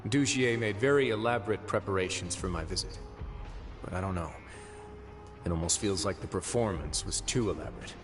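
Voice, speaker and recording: An actor playing a composed, wry duke in an anime video game, speaking in a measured, formal way. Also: male voice, sits low, close to an everyday voice. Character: composed, wry adult duke in charge of guards. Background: game music.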